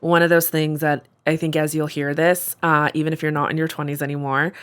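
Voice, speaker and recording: A woman's voice: sits low, little pitch movement, a little fast, clear, resonant tone. A woman in her thirties co-hosting a podcast, chatting casually with lots of likes and ums. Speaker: podcast co-host. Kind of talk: casual chat.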